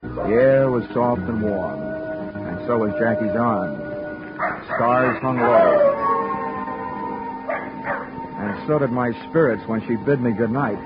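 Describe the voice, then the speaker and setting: A man's voice: medium pitch, big pitch swings, slow, long pauses. A man narrating and acting as a detective in a 1940s radio drama, wry and dry. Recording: dull old radio recording with music.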